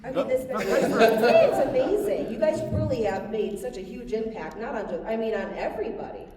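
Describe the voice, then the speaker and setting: A woman's voice: fairly high pitch, very animated, normal speed. A woman moderating a convention panel, asking questions with enthusiasm and laughing along. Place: large convention hall. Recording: echoey hall from far off, loud crowd and noise.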